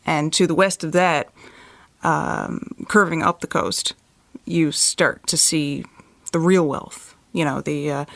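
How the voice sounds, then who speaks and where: Interview-style drama role: thoughtful local woman, low voice, unhurried explanations, very dry close sound. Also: no room sound.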